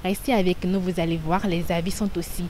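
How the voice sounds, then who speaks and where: Female host, scripted and measured. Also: lowish voice, steady tone, very noisy. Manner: clear, measured delivery. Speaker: TV presenter. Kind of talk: scripted show presentation.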